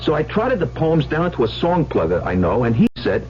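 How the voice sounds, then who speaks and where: A wisecracking down-on-his-luck gambler, swinging widely in pitch through slangy radio narration and dialogue. The old recording is muffled, with music behind him. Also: big pitch swings, moderate pace. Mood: wry, cynical. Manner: wisecracking, slangy, hard-boiled. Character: cynical, money-hungry down-on-his-luck gambler poet, narrating his story.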